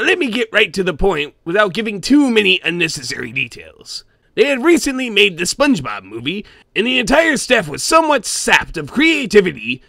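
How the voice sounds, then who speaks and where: A man voicing a gruff, greedy old cartoon sea-crab boss, gravelly and theatrical, narrating a comic scary story in character. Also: high voice, pushed and bright, no echo, exaggerated voice. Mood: wry, grumbling. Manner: theatrical, with grumbling asides and barking chuckles.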